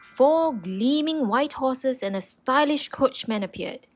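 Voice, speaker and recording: Woman storyteller, children's read-aloud, fairly high voice, very lively intonation, unhurried. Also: soft background music. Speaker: storybook narrator.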